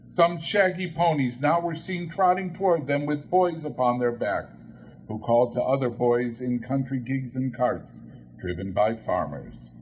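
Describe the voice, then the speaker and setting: A man narrating a classic story as an audiobook reader, in a dramatic, measured and expressive style. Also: mid-range voice, a little hiss or hum, poor audio.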